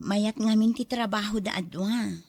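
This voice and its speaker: A woman's voice: medium pitch, fairly even intonation, normal speed, a little mellow, no room sound, close to an everyday voice. A woman with an Ilocano Filipino accent playing a pregnant mother and caring aunt in a conversational audio drama. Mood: chatty.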